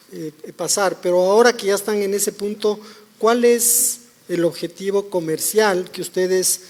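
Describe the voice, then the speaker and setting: High-voiced man moderating a panel. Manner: questioning, with restarts. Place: conference hall. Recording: reverberant event hall.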